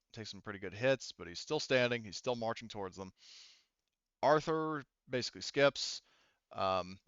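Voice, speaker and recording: A man acting as game master, narrating a tabletop roleplaying game in a halting, thinking-aloud way. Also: on the low side, fairly bright tone, clear, resonant tone, no room sound, lo-fi sound. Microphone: headset.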